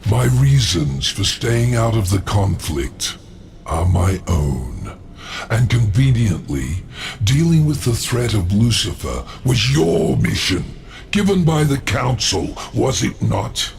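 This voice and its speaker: A menacing demon lord from a video game, very gravelly and low. He speaks slowly and formally, with condescending pride and wide swoops of pitch. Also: sing-song, a little hiss or hum, exaggerated voice. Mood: smugly amused. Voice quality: gravelly, growling. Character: sly, menacing old demon lord, proud schemer. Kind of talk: video game cutscene acting.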